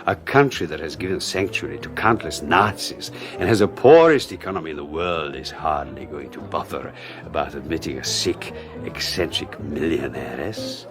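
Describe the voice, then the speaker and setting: A silky, scheming schemer. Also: male voice, rumbling low, lively intonation, music in the background. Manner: silky, mocking, drawn-out delivery. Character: scheming suave man plotting with his lover. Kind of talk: 1980s radio drama acting.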